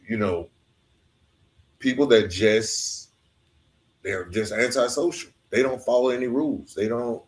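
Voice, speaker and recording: Man hosting a casual, halting interview. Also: fairly low pitch, a little mellow. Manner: colloquial, halting, circles back to rephrase questions. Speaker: podcast host, interviewer. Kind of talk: casual, reflective interview talk.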